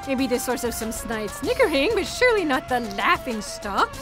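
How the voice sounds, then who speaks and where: A woman playing an earnest, inquisitive female companion, questioning and expressive, in a sci-fi audio drama over music. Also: high voice, moderate pace, poor audio. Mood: earnest, indignant.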